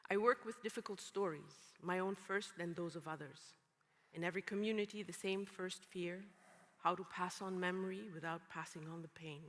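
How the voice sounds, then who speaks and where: A woman from Rwanda, a symposium speaker, giving slow, measured, poetic personal testimony. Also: lowish voice, fairly bright tone. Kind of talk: spoken-word personal testimony. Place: large conference hall. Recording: long hall reverb.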